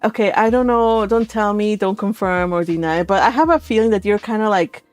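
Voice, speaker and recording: A woman's voice: mid-range voice, brisk, clean tone. Chatty woman hosting over music. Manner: animated, chatty, teasing. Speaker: host of a live read-along stream. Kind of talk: casual livestream chat. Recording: music behind the voice.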